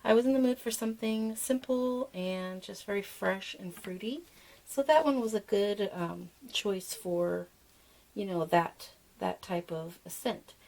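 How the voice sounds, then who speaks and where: A woman with a hoarse, froggy voice from being sick, casually walking through a soap-making demonstration with many fillers. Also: medium pitch, unhurried, poor audio. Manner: relaxed, rambling, with many fillers. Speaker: soap maker and channel host.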